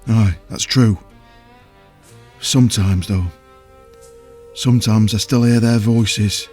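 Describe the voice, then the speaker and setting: An actor playing a huge, simple, childlike potion brewer in an audio drama, with rustic folksy speech and a halting, earnest, wounded manner. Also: male voice, fairly low pitch, fairly even intonation, a little mellow, faint music behind. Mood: melancholy. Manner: halting, plain-spoken, earnest. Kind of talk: audio drama character acting.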